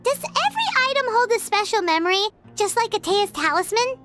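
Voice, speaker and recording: A tiny, chirpy fairy companion from a video game, with a very high, piping voice that bounces up and down. She fires off eager, wide-eyed questions and refers to herself by name. Game music plays behind her. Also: expressive, brisk. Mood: curious, excited. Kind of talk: video game cutscene dialogue.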